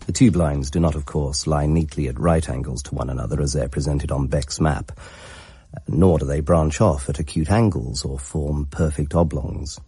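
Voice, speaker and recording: An actor playing a scholarly narrator, delivering scripted radio drama narration in a measured, precise manner. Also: male voice, extremely low pitch, fairly even intonation, dark tone, breathy edge, no room sound, exaggerated voice.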